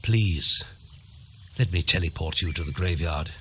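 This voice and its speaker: A man's voice: sits low, a little slow, a little hiss or hum. A man playing an older wizard guildmaster, solemn and emphatic, in a fantasy game. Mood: pleading. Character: old male wizard guildmaster, grave and defiant. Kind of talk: dramatic fantasy game acting.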